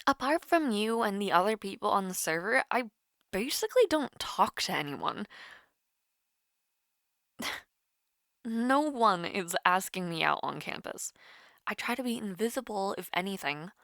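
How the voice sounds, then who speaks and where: A woman's voice: mid-range voice, expressive, measured pace, pauses often, no room sound, clean studio sound. A young woman playing a shy, caring college student with a crush in an intimate audio roleplay, hesitant and easily flustered. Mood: flustered, teasing. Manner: hesitant, flustered, soft-hearted, giggly. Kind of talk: intimate romantic audio roleplay, one-sided call.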